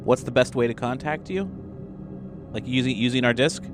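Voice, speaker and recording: A man's voice: medium pitch, normal speed. A man playing a tabletop roleplaying game, improvising in casual chat. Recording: faint music behind voice. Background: faint music.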